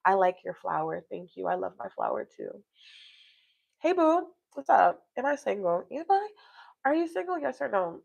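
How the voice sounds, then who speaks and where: Woman doing a casual motivational livestream, kinda rambly and emphatic, medium pitch, keeps checking in with listeners. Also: wildly swooping pitch, normal speed, vocal fry, a little mellow. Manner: rambling, emphatic, often repeats "you know" check-ins to listeners. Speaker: livestream host talking to viewers. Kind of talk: casual personal livestream chat, reflective and motivational.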